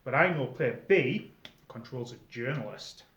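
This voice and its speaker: A middle-aged man talks in a conversational, explanatory way, as if giving friendly tips on video. His voice sits at a medium pitch. The recording is slightly dull, with clear room echo. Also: lively intonation, a little slow, fairly bright tone. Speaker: presenter, former game storyteller. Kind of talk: informal advice video monologue.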